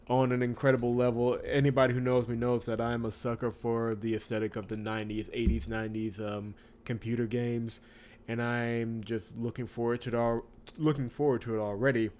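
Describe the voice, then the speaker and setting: A man hosting a visual novel playthrough, chatting casually and reading the story aloud in an expressive way with wry asides. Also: medium pitch, moderate pace, clear, resonant tone. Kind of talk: casual let's-play commentary with read-aloud narration.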